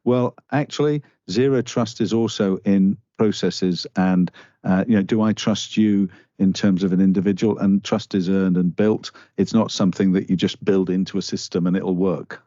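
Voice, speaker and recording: A middle-aged man with a Northern Irish accent, a guest in a conversational interview, speaking haltingly with many restarts. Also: low-pitched, fairly even intonation, warm tone, no echo. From Northern Ireland. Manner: halting, restarts often, earnest. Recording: dull lo-fi dry sound.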